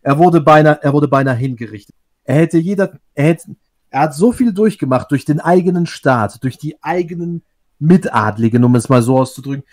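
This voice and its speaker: A man's voice: on the high side, lively intonation, brisk, a little mellow. A man from Russia talking in a casual online group chat, thoughtful and often restarting his sentences. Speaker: discussion guest.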